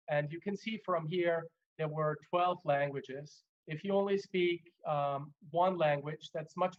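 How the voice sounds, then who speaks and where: Male lecturer, German native, slightly high voice, measured with fillers, muffled webinar sound. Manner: measured, many fillers and restarts. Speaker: legal trainer. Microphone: video call.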